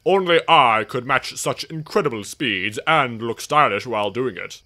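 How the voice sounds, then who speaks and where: A man's voice: mid-range voice, expressive, moderate pace, sharp tone. A man voicing a pompous, self-important express engine in a storybook tale, grandly dismissive. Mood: boastful.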